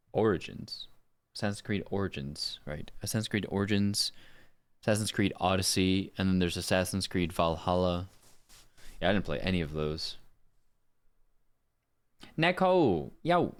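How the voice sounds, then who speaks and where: A male streamer and voice actor with a low voice. He talks in a loose, informal way, as in casual chat with live viewers. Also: steady tone, moderate pace, pauses often, smooth.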